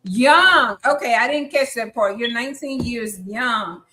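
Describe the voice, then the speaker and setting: A woman's voice: fairly high pitch, a little slow, bright, forceful tone. A woman in her fifties hosting a live podcast interview, chatty and encouraging. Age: 50s. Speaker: podcast host.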